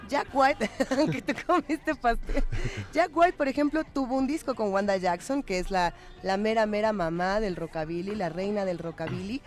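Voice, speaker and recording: A woman's voice: mid-range voice, moderate pace. A woman with a Mexican accent co-hosting a magazine show, giving discursive, conversational commentary and often checking for agreement with tag questions. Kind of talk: conversational on-air music commentary.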